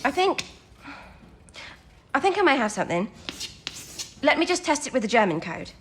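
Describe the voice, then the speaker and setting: An actress playing an eager, warm-hearted young female codebreaker in a wartime audio drama. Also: high-pitched, very animated, normal speed, slight background noise. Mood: focused. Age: young adult.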